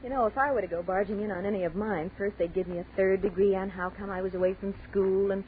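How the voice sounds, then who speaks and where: An actress playing a brash, impatient, wisecracking college student in a 1940s radio drama, sarcastic and blunt. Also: female voice, medium pitch, steady tone, fast talker, narrow, tinny audio, lightly acted. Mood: wry, relaxed. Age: young adult. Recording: scratchy, thin 1940s radio recording.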